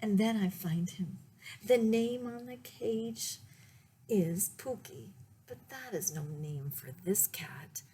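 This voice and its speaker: Slow-paced woman reading a children's storybook. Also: mid-range voice, a little hiss or hum. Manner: deliberate, expressive, pausing to show the pictures. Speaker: librarian reading a picture book for story time. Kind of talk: read-aloud children's storytime, with asides to listeners.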